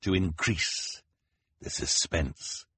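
Méchant vaniteux et théâtral, joué par un homme, voix forte, haute, sèche. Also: male voice, very animated, takes it slowly, fairly bright tone, breathy edge, no room sound, heavily acted. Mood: gloating. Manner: theatrical, gloating, booming. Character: scheming vain adult male villain, showman.

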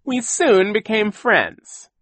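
A man's voice: fairly high pitch, wildly swooping pitch, moderate pace, pushed and bright, no echo, voice pushed up, heavily acted. A man voicing a scheming villainous sea captain who gushes with false sentiment, in an audiobook story. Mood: mock mournful. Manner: theatrical, gushing insincerity.

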